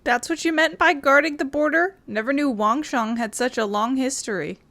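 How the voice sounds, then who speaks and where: High-voiced woman rambling over gameplay. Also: normal speed, bright, forceful tone, no echo. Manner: rambling, playful asides. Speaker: streamer playing a video game. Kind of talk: casual gameplay commentary. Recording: game music behind voice.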